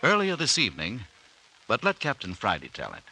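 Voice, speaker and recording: An actor playing a solemn, suspenseful narrator of an old-time radio mystery serial. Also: male voice, mid-range voice, wildly swooping pitch, brisk, pushed and bright, heavily acted. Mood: ominous. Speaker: announcer-narrator. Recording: slightly noisy vintage radio recording.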